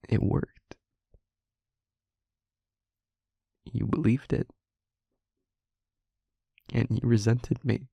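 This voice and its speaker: Male actor as tormented demon, intimate audio drama, half-whispered, gravelly creak, halting, heartfelt pleading. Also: mid-range voice, warm tone, no echo, heavily acted. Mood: pained, regretful. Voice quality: breathy, husky, often whispered. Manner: slow, halting, emotionally pleading. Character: tormented, remorseful male demon confessing love. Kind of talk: intimate scripted audio roleplay monologue.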